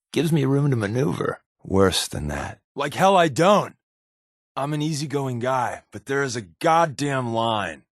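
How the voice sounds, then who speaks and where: A man's voice: medium pitch, no echo, clean studio sound. A man playing an easygoing, wisecracking secret agent with hidden guilt in a video game, talking in a wry, casual, conversational way. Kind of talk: video game companion dialogue.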